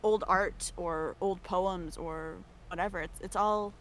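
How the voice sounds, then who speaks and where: A woman chatting casually on a livestream, expressive and given to playful exclamations. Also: fairly low pitch, slow. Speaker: livestream guest on an art work stream. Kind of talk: casual banter. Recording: noticeable background noise.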